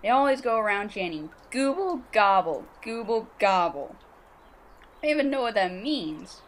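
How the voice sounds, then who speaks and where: A woman's voice: mid-range voice, very animated, moderate pace, slight background noise, natural voice. A woman playing a young, bullied schoolboy, eager and naive, in an amateur audio drama. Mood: dejected, confiding.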